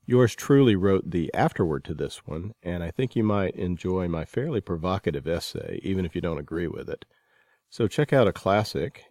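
A man's voice: sits low, a little mellow, no room sound. A man hosting a podcast, introducing guests and putting interview questions to them.

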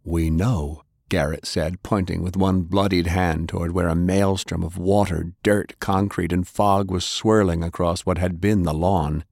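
Male audiobook narrator: very low voice, dramatic delivery of action prose, close dry studio recording. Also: fairly even intonation, normal speed, creaky, hardly any pauses, darkish tone, no echo.

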